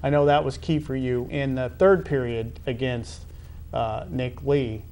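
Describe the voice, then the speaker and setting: A middle-aged American man with an average-pitched voice hosts a sports interview in an emphatic, conversational way. There is noticeable noise behind his voice. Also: steady tone, unhurried, a little mellow. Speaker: talk show host, high school athletic director.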